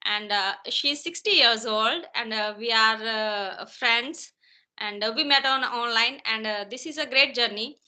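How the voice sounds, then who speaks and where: Woman, high pitch, very bright tone, lots of "uh" and "like", host. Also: unhurried. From India. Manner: many fillers. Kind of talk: casual conversation practice.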